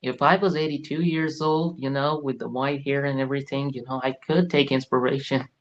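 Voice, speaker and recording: A man in his late twenties talks reflectively, earnest and searching, circling back on his words. His voice sits at an ordinary middle pitch. The recording sounds a little dull and lo-fi. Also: flat delivery, fairly quick. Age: late 20s. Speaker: guest. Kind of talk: reflective personal talk.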